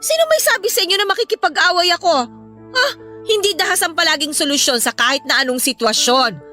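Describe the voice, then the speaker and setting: Radio drama mother: high, very lively intonation, protective, earnest and admonishing. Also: fast talker, heavily acted. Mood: firm. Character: protective, worried mother gently counselling her children. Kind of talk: emotional radio drama acting. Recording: music bed behind the voice.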